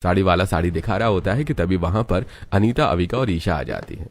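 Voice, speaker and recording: A man's voice: low voice, big pitch swings, a little fast. A man giving scripted narration of a family story.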